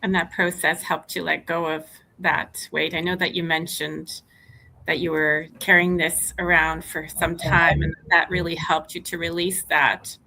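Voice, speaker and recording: A woman's voice: lowish voice, level tone, a little slow, creaky, bright, forceful tone, low-quality recording. A woman hosting an interview in a conversational, supportive and encouraging way. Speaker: podcast interviewer.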